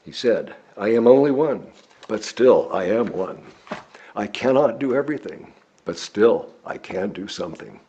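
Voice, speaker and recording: A man reads a written sermon aloud in a measured, deliberate, oratorical way, with a husky voice. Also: on the low side, animated, warm tone. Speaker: lay preacher reading a written sermon. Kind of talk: sermon read from a script. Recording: dull, lo-fi recording.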